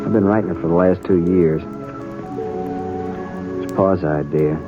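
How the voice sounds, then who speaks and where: An actor playing a defensive, guilt-ridden young American frontier man in a 1950s radio Western, speaking in a rustic, halting, emotional way. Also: low-pitched, steady tone. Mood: subdued, rueful. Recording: muffled old radio recording, faint music.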